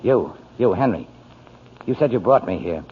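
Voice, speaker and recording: An actor playing a gruff, hungover, uneasy waterfront drifter, terse and slangy, in the manner of 1940s radio drama. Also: male voice, lowish voice, sing-song, normal speed. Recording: dull, hissy 1940s radio recording.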